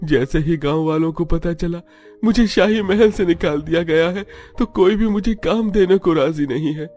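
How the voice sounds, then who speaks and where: A man's voice: fairly high pitch, moderate pace, a little mellow, faint music behind, lightly acted. A man voicing a humble, downtrodden palace servant in a cartoon, pleading and plaintive. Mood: despairing. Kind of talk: cartoon voice acting.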